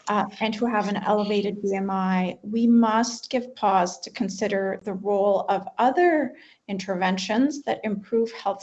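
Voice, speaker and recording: A female cardiologist on a panel, speaking in a measured, methodical way. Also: medium pitch, a little mellow. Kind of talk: panel discussion. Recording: muffled video call audio.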